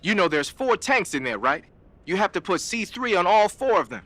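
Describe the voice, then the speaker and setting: A man's voice: high voice, sing-song, normal speed, a bit bright, exaggerated voice. A man playing a brash, casual weapons adviser in a video game, with a slangy, informal delivery. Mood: upbeat, instructive. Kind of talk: animated video game mission advice.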